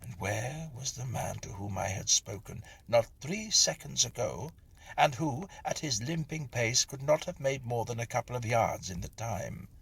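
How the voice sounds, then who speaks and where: A man reading a Victorian ghost story as scripted narration, in a husky, breathy, hushed and dramatic storytelling manner. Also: medium pitch, animated, moderate pace.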